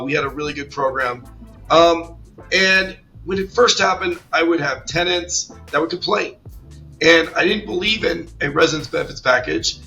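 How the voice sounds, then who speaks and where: A man's voice: fairly high pitch, pushed and bright, poor audio. A man hosting a conference interview, a little under the weather, talking in an enthusiastic, rambling way with many restarts. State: slightly ill, voice a bit off. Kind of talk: casual interview chat.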